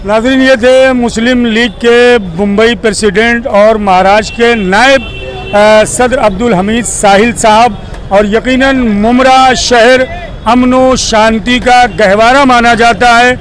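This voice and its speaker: A man's voice: very high-pitched, fairly even intonation, slow pace, fairly bright tone, rough recording. A man, working as a news channel reporter and speaking formally and courteously in an outdoor interview. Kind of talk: street interview and sign-off.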